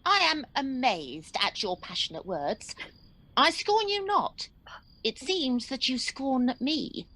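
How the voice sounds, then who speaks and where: A woman acting a young heroine in a Shakespeare radio play, bewildered and pleading. Her high voice swoops widely and takes its time over incredulous questions. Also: very animated, slow, bright, forceful tone, exaggerated voice, poor audio.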